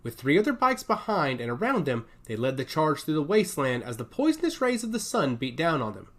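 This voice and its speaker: A man's voice: a little high, huge pitch swings, a little fast, a bit bright. A man reading scripted science fiction action narration in an emphatic, theatrical way.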